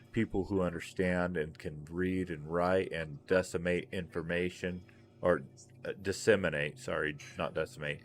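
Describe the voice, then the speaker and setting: Deep-voiced profane streamer in his forties. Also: slight background noise. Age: 40s. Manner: profane, rambling, animated rants. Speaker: streamer host. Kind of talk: casual voice chat while gaming.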